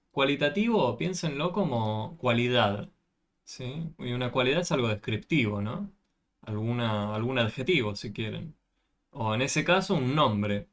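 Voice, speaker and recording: A man's voice: mid-range voice, a bit bright. A man tutoring a math class over a video call, patiently explaining and often checking with questions.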